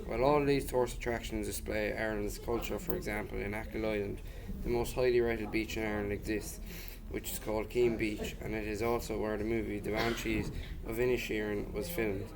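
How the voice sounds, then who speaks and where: A man's voice: on the low side, level tone, fairly quick, gravelly, crowd noise, poor audio. A man giving a scripted presentation as a guest speaker, reading it aloud.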